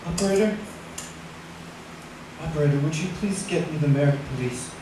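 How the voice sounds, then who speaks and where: An actor playing a desperate, guilt-ridden husband in an old-time radio drama, stammering and agitated, pleading and bullying by turns. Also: male voice, a little high, moderate pace, close to an everyday voice. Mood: subdued. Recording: echoey distant old radio recording, hissy.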